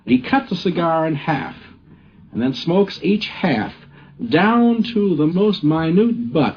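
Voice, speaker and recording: A man recalling someone he knows in a deliberate, reflective, unscripted way with pauses. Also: on the high side, slightly rough. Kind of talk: unscripted spoken recollection of a friend. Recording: muffled old radio broadcast recording.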